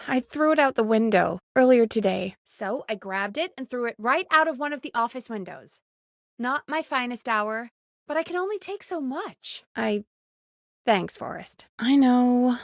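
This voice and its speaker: A woman playing an earnest, wry radio show producer in a video game, speaking in an expressive, conversational way that is supportive but easily exasperated. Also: fairly high pitch, dry recording, studio quality. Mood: sheepish, annoyed. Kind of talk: naturalistic video game dialogue.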